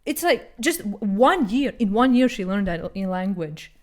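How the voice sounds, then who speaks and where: A woman co-hosting a podcast in casual chat, speaking haltingly and searching for her words. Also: medium pitch, expressive. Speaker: podcast co-host.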